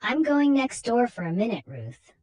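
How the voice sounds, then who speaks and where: A woman's voice: a little high, sing-song, normal speed, a little mellow, pitched above the performer's own voice. A woman voicing an easygoing, reassuring husband with a casual manner in a horror comic reading.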